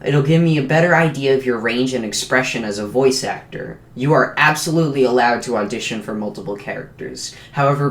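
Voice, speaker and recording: A man reading a scripted explainer announcement as the creator of an animated show. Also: mid-range voice. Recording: roomy, echoing voice recording.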